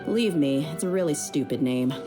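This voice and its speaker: A young desert mercenary woman with a low, grounded voice. She is loyal and easygoing, talking frankly and with plenty of slang, as in a video game. Music plays prominently behind her. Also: expressive, a little fast. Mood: frank, serious.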